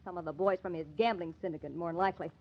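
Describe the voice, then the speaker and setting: A woman playing a pitcher's cynical, pleading girlfriend in an old-time radio crime drama, sarcastic and scolding in American slang. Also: steady tone, rapid-fire, dull audio. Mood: sarcastic, warning.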